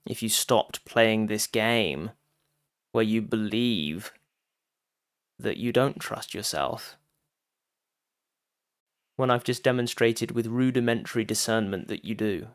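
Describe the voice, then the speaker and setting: A man giving a guided meditation talk as a teacher, phrasing deliberately with frequent pauses and addressing listeners directly. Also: lowish voice, dry recording, clean studio sound.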